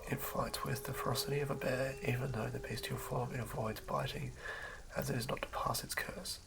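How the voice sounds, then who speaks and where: A man doing a soothing ASMR reading of fantasy game lore in a hushed, breathy whisper, occasionally stumbling over words. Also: medium pitch, fairly even intonation, brisk. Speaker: ASMR host. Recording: lo-fi recording with clear background noise.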